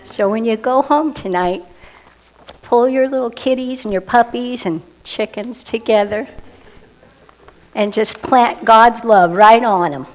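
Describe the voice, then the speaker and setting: A woman telling a story and rhyming poem to children, expressive, with hushed moments. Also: fairly high pitch, measured pace, poor audio.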